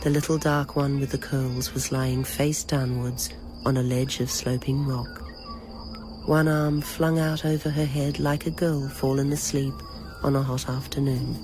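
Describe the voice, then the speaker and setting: A narrator reading a novel aloud in a measured, literary storytelling manner. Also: medium pitch, level tone, music in the background. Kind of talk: scripted audiobook-style narration of a novel.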